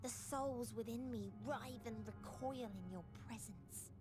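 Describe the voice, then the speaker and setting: A woman's voice: fairly high pitch, a little slow, lightly acted. A woman voicing an anguished being of many souls who preaches despair in a video game, solemn and ominous. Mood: anguished, bewildered. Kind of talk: dramatic video game acting. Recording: music under the voice.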